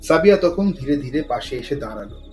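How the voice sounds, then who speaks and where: A man's voice: medium pitch, lively intonation, brisk. A man reading dramatic scripted narration as the storyteller of an audio thriller. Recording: music under the voice.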